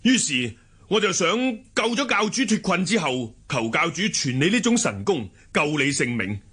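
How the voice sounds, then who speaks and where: An actor playing a shrewd, loyal sworn elder brother in a martial-arts radio drama, hearty and forthright, with a Hong Kong Cantonese accent. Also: male voice, on the high side, big pitch swings, normal speed, pushed and bright, slightly rough, heavily acted.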